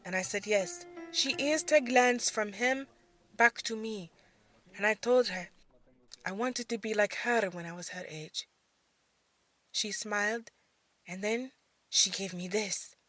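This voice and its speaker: A woman's voice: mid-range voice, a little slow, long pauses, a bit bright, close to an everyday voice. A woman voicing wistful young belly dancer Layla over music. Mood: wistful, reminiscing. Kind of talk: dramatised storytelling in a fantasy audio drama.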